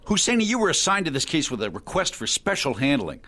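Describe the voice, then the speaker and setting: An exasperated male executive makes demands in an audio drama. His fairly high voice leaps about with indignation and biting sarcasm. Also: big pitch swings, fast, pushed and bright, faint music behind, exaggerated voice. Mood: indignant. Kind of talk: radio drama acting.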